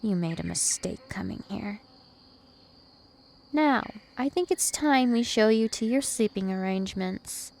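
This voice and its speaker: Casting: woman as an immortal vampire princess for ASMR roleplay, teasing and possessive, creaky voice over noticeable insect-like night ambience. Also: medium pitch, a little mellow, slight background noise. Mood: possessive, commanding. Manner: slow, deliberate, teasing and possessive.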